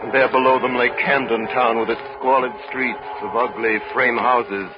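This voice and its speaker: A man narrating a story in a dramatic, measured 1930s radio drama style. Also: medium pitch, level tone, over music. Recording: muffled 1930s radio broadcast.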